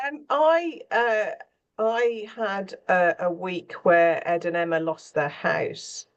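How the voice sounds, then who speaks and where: A woman speaking as a scriptwriter on a panel, candid and thoughtful, often pausing mid-sentence as she reflects. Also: fairly low pitch, lo-fi sound. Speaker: scriptwriter for radio and theatre.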